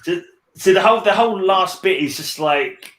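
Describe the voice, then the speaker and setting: A man's voice: high-pitched, expressive, moderate pace, a bit bright, roomy sound. A man chatting casually as host of a book read-along, rambling and repeating phrases as he searches for words. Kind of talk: casual book discussion chat.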